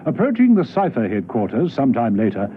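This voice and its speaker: Animated male radio narrator, tinny sound. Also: medium pitch, a little fast. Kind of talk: dramatic scripted spy radio narration. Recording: tinny, noisy old radio broadcast.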